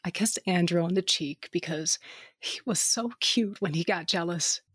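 A woman's voice: on the low side, moderate pace, slightly rough, no echo. A woman narrates an audio drama in the first person and also speaks as its lead. Her delivery is wry and determined. The recording is close and dead dry, with no room sound.

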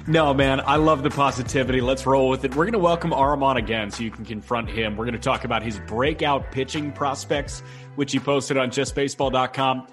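A man from Chicago, stuffed up and coughing, co-hosting a baseball podcast. His talk is laid-back and rambling, with lots of filler words, at a middle pitch. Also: fairly even intonation, brisk, fairly bright tone, faint music behind. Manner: laid-back, chatty, says "like" often. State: congested with a cough. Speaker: podcast co-host. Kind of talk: casual sports podcast chat.

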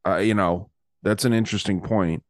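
A man's voice: low voice, fairly even intonation, moderate pace, dry recording. Easygoing male host, casual and hesitant, dry close-mic sound. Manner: hesitant, many fillers, easygoing. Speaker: podcast host. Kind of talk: casual chat.

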